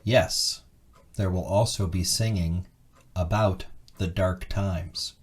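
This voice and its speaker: A man's voice: low voice, fairly even intonation, takes it slowly, breathy edge. A man speaking as a minister to a congregation, earnest and encouraging as he leads worship. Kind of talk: worship service address introducing a hymn.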